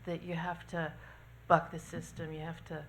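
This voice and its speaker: This is a woman speaking from the audience, working out her question in a searching, hesitant way. Her voice is low and stays very level, with a faint room hum behind it. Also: little pitch movement, moderate pace, creaky. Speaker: audience member.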